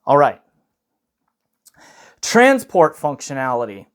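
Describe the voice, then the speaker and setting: Higher-pitched man giving a casual gear review. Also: huge pitch swings, slow pace, smooth. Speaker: product reviewer.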